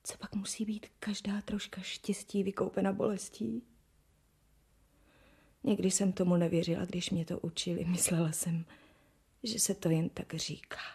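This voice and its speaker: An actress playing a young noble bride in a radio drama, swinging between loud pleading outbursts and whispered brooding. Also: female voice, fairly low pitch, little pitch movement, husky.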